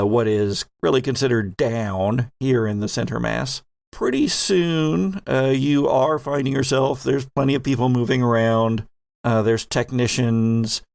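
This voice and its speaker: Creaky-voiced man narrating a tabletop game. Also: medium pitch, a little mellow, dry recording, clean studio sound. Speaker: game master and host.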